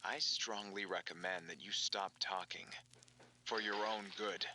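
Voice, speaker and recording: A man's voice: lowish voice, steady tone, unhurried, bright, forceful tone, slight background noise. An actor playing a formal, cold, evasive male lawyer who pressures insistently in a found-footage horror drama. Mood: evasive, threatening.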